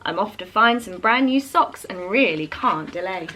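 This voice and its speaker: A woman's voice: mid-range voice, lively intonation, moderate pace, sharp tone, a little hiss or hum, pitched below the performer's own voice. A woman voicing a kind, polite male octopus who is in a hurry, in a children's storybook. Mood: apologetic. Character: kind busy sock-loving octopus, male storybook sea creature.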